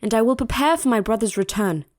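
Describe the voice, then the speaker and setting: A woman's voice: fairly high pitch, quick pace, darkish tone, dry recording, clean studio sound, close to an everyday voice. A woman playing a proud, determined young princess in an audio drama, firm and guarded. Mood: defiant. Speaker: voice actor.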